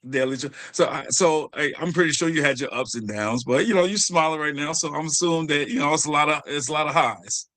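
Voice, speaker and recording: A man's voice: fairly high pitch, fast, hardly any pauses, a bit bright, no echo. A man with an American accent chatting informally and affirmingly as he hosts an interview. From United States. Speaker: podcast host. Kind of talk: casual interview chat.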